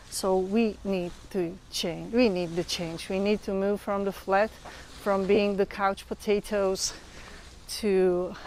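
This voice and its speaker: A young adult woman with a Czech accent, a non-native English speaker hosting a casual livestream and often pausing to search for words. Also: mid-range voice, slight background noise. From Czech Republic. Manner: hesitant, searching for English words with many fillers. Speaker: livestream host. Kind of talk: casual livestream chat with viewers.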